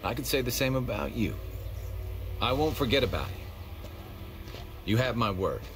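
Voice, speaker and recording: An actor playing a steady, loyal and resolute survivor scout in a dramatic video game. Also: male voice, mid-range voice, a little slow, a little hiss or hum. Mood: resolute warmth.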